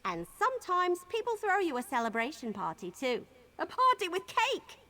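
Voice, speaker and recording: Bubbly young elf heroine for a fantasy game: high-pitched, animated, cheerful and enthusiastic. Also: female voice, normal speed, full character voice. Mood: excited. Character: eager, cheerful young female wood elf adventurer. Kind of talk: video game character acting.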